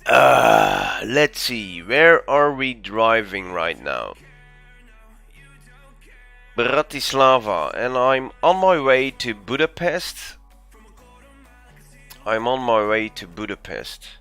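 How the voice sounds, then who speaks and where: Guy, on his english, bright tone, talking slow while he drives in a game, lots of uhs. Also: mid-range voice, very animated, creaky, faint music behind. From Belgium. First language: Dutch. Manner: thinking aloud, easygoing, frequent ums and uhs. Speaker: game streamer. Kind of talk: live gameplay commentary.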